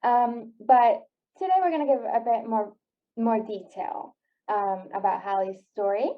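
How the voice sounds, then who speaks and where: A woman's voice: on the high side, a little slow. A woman in her twenties hosting a podcast, asking questions in a casual, friendly way with hesitant "um"s. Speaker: podcast host. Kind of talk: casual interview. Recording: dull lo-fi sound.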